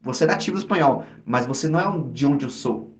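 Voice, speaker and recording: A man's voice: mid-range voice, expressive, fast. A Brazilian man with a Brazilian Portuguese accent, co-hosting a podcast in casual, slangy chat with many restarts. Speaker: podcast co-host. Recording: echoey room.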